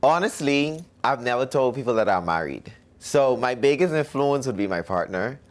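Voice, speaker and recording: A man's voice: medium pitch, expressive, normal speed. A young man from the Bahamas, a musician answering interview questions in a cocky, self-promoting way.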